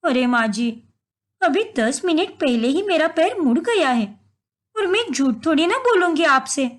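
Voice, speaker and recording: महिला की आवाज़ में ऊँची आवाज़ वाली चालबाज़ जवान बहू. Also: female voice, sits high, lively intonation, a little slow. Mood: pleading. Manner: whining, complaining, dramatic. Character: scheming young daughter-in-law, whiny and deceitful.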